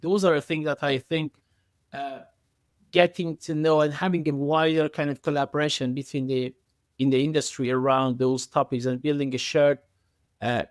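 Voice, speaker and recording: Halting man answering as expert guest, dry sound. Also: medium pitch, no echo. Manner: halting, repeats words, frequent hedging filler phrases. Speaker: podcast guest, engineering expert. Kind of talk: conversational expert interview answers.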